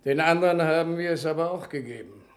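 An actor playing a weary elderly president in a radio drama, speaking in terse, clipped phrases. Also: male voice, fairly high pitch, fast, natural voice. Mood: grimly satisfied. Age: older. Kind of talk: German radio drama acting. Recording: roomy echoing radio play sound.

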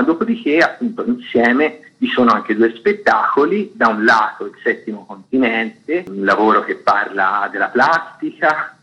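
A man's voice: medium pitch, slightly rough, dull audio. A man explaining a programme at length as an articulate guest in a radio interview. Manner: articulate, long-winded explanatory sentences. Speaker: festival artistic director, interviewed guest.

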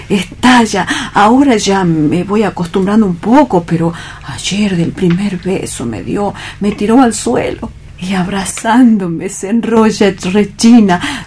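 A woman playing a timid, dreamy male clerk in a radio theatre reading, hesitant and anxious, with a husky, breathy voice and an accent from Spain. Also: mid-range voice, darkish tone. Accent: Spanish (Spain). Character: timid, dreamy male bank clerk afraid of real love.

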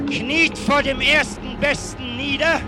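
A woman reading scripted narration in a radio piece, with slow, spaced phrasing. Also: high voice, steady tone, background noise.

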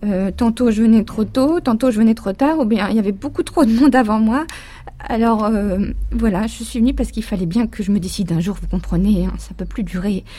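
A woman's voice: mid-range voice, a little fast, warm tone, lightly acted. An actress playing a middle-aged rural woman patient, timid and apologetic, hesitating with fillers in a radio drama. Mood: hesitant.

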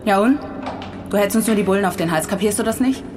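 A woman's voice: medium pitch, quick pace, background noise, lightly acted. An actress playing a tough, foul-mouthed street girl in a German radio drama, with an aggressive, commanding manner. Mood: hostile, threatening.

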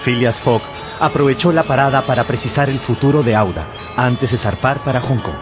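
A man narrating a radio drama from a script in a storytelling, clearly articulated way. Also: mid-range voice, fairly quick. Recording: music behind the voice.

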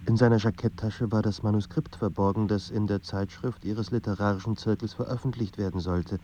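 A man performing as a grave, brooding narrator of literary radio drama, speaking in a measured way with dramatic pauses over a slightly muffled, noisy recording. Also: fairly low pitch, steady tone, warm tone, close to an everyday voice. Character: literary narrator of a troubled young writer's life, grave and brooding.

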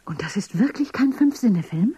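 A woman of a dystopian underground world, full of urgent questions. Her voice is very breathy and dark, dry and close, with lively swings. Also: medium pitch, brisk, dry recording. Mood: hushed wonder. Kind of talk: German radio drama acting.

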